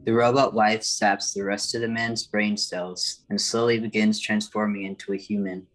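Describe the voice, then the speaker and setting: An older man with a fairly low voice acts as announcer for an amateur radio play. He reads out scripted narration, setting the scene and describing what the characters do. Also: level tone, normal speed, a bit bright, low-quality recording.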